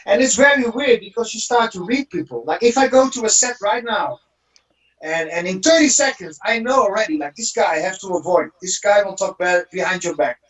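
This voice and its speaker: A man's voice: high-pitched, lively intonation, fairly quick, a bit bright. A man from the Netherlands with a Dutch accent, a guest talking in an earnest, motivational way and often repeating words as he thinks. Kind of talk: casual interview chat. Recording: roomy, distant remote audio.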